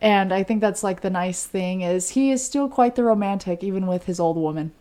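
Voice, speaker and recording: A woman's voice: medium pitch, fairly quick, mellow. A woman co-hosting a podcast, telling a true-crime story in a conversational way. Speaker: podcast co-host.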